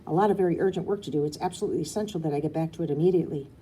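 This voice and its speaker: A woman's voice: low voice, very fast, dark tone, slight background noise, close to an everyday voice. A woman voicing a stern, cold, distracted father in a storybook, speaking in a formal, insistent way. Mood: stern, apologetic.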